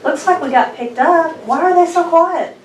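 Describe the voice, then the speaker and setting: A woman's voice: very high-pitched, normal speed. A woman playing a dutiful spaceship navigator at a sci-fi table read. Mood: alert. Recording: echoey room, distant mic, faint noise.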